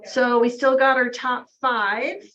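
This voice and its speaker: A woman hosting a casual office sales meeting, brisk and friendly, laughing often. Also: a little high, steady tone, sharp tone, muffled recording. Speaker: meeting host.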